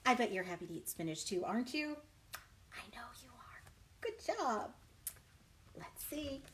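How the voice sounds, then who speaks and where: A woman's voice: on the high side, expressive, normal speed, a bit bright, slight background noise. A woman working as a children's librarian, leading storytime with an exaggerated, encouraging manner and questions to young listeners. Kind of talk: animated children's storytime read-aloud and chat.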